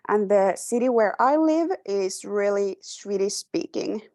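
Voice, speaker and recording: A young woman with a Finnish accent talks through her slides at an unhurried pace, stopping often for fillers and restarting her sentences. Her voice sits at an ordinary pitch for a woman. The sound is dry, with no room echo. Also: animated, a little mellow. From Finland. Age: 20s. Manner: hesitant, many fillers and restarts, light laughter. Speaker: presenter. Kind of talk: informal slide presentation.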